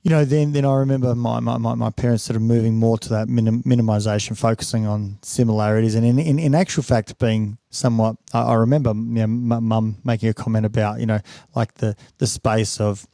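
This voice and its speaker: A man's voice: medium pitch, steady tone, fairly quick, gravelly, few pauses, mellow, no echo, studio quality. A middle-aged man hosting a podcast interview, reflecting aloud with many restarts and repeated words. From Australia. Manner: frequent repetitions and restarts, musing. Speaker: podcast host.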